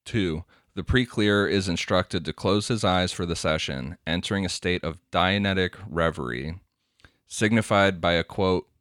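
A man's voice: sits low, moderate pace, a bit bright, no echo, clean studio sound. A man co-hosting a casual podcast, explaining a topic from notes and laughing often. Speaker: podcast co-host. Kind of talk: casual podcast chat, reading quotes aloud.

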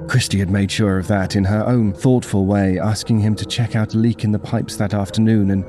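Low-voiced male horror narrator over music. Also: fairly even intonation, a little fast, warm tone. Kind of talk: dramatic scripted first-person narration.

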